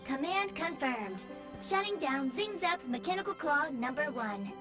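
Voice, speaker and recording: A woman's voice: high-pitched, big pitch swings, measured pace, music in the background. A woman voicing the formal automated system of a mechanical claw in a video game, clipped and impersonal. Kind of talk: scripted game system announcement.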